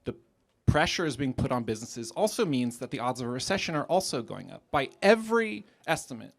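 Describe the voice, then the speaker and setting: An American man questions an opponent in a live debate like an academic. His mid-pitched voice is measured and analytical as he lays out his reasoning. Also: sing-song, vocal fry.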